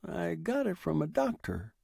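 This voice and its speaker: A man's voice: sits high, wildly swooping pitch, a little slow, gravelly, a little mellow, husky, no echo, studio quality, pitched above the performer's own voice, heavily acted. A man voicing a fat old male pawnbroker in a story, tired, furtive and resigned. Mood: forlorn, explaining.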